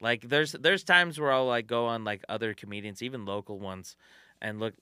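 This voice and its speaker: A man who is a local comedian and photographer, chatting casually as a podcast guest, halting with fillers. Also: medium pitch, fairly even intonation, sharp tone, clean tone, dry recording. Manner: halting, lots of fillers and laughs. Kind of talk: casual chat.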